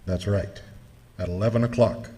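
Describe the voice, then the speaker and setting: A man's voice: on the low side, steady tone, moderate pace, dark tone, close to an everyday voice. A man playing a terse, commanding criminal boss in an old-time radio suspense drama. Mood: terse, businesslike.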